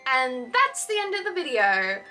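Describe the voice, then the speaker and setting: A woman's voice: high voice, very animated, normal speed, far from the mic, music in the background. A young woman YouTuber giving a scripted, earnest and encouraging explainer about fanfiction.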